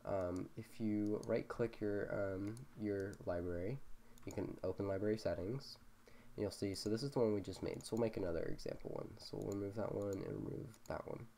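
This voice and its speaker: A young man with a slight cold, explaining coding steps in a casual, halting way full of ums. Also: lowish voice, vocal fry, dark tone, a little hiss or hum. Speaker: programming tutorial host. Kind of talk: screen-recorded coding walkthrough.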